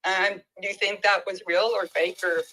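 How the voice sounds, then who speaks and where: Caller: woman, slow halting speech, bright voice. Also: mid-range voice, low-quality recording. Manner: hesitant, stalling with repeated ums.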